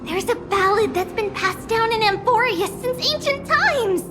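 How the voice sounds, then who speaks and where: A woman voicing a gentle, whimsical young girl in a video game, with a sweet, airy, gently bossy manner. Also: extremely high pitch, animated, unhurried, exaggerated voice. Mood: bright, eager. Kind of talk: scripted video game dialogue. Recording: prominent game music behind the voice.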